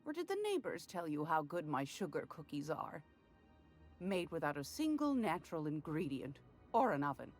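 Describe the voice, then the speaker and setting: Sly, low-voiced folksy old lady. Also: very animated, moderate pace, soft background music. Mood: coy, folksy. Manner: folksy, playfully coy. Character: sly folksy old woman running an illicit trade.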